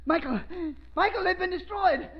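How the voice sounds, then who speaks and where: An actor playing a bitter, frail old scientist in a 1930s radio drama, stammering and panicky. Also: male voice, very high voice, moderate pace, crowd noise. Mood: frantic. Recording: dull old radio recording.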